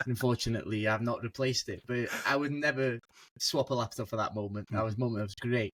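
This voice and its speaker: A man's voice: mid-range voice, fairly even intonation, fairly quick, fairly bright tone, no room sound. A young man as a guest on a casual football podcast, telling stories animatedly and laughing mid-sentence over a video call.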